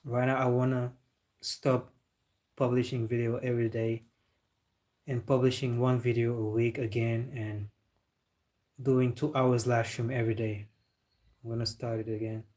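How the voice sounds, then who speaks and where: A man's voice: medium pitch, flat delivery, moderate pace, pauses often, a little mellow. A young man hosting a football live stream, chatting casually with viewers in a loose, laid-back way. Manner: loose and chatty, calls viewers bro, talks with a laugh.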